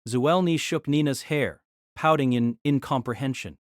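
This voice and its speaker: A woman's voice: a bass voice, moderate pace, dry recording, clean studio sound. A woman narrating a scripted, dramatized story as its narrator.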